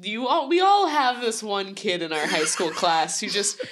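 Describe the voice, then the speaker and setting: Casting: woman comedy podcaster, middle-range voice, emphatic wry delivery, relaxed group chat. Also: mid-range voice, normal speed.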